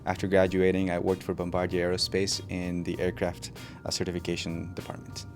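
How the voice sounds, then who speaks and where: A man, an MBA student giving steady, appreciative interview answers. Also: low voice, a little fast, soft background music. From Colombia.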